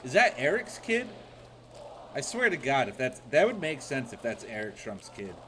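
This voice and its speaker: This man talks loud and profane, mocking everything he hears, and his pitch leaps around all over the place. It sounds like a home streaming setup on a desk mic, with a faint hiss under his voice. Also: very animated, normal speed. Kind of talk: crude live reaction commentary.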